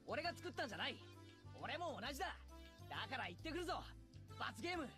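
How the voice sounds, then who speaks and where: A man's voice: very high-pitched, very animated, very fast, heavily acted. A man playing a cocky, loyal teenage schoolboy in a Japanese visual novel, with a brash, boyish manner. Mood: wry, resigned. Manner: brash, rough boyish wording. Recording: game dialogue over clear music.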